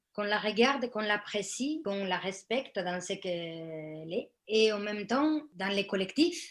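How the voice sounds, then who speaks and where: A woman speaking on a public panel about the theatre workshops she runs. She tells stories in an animated, engaged way, in a voice of ordinary pitch. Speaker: theatre workshop leader for women. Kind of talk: panel discussion.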